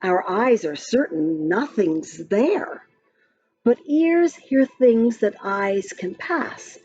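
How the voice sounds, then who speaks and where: A woman reading a children's picture book aloud in a gentle, expressive storytime voice. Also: a little high, slow, highs cut off.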